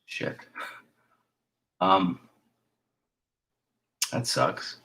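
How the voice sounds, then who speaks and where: A man with a raspy voice hosting a casual live stream as a filmmaker, rambling in a chatty way and sometimes dropping to a whisper. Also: extremely slow. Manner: hushed at times, drifting into whispers; chatty, with fillers and restarts.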